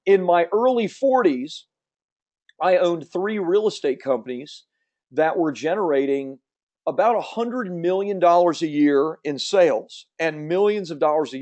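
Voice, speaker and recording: Fast-talking upbeat man, slight Southern accent. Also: a little high, darkish tone. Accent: slight Southern American. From Central Florida. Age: 50s. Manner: quick, upbeat and salesy. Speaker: webinar host. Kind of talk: fast promotional webinar presentation.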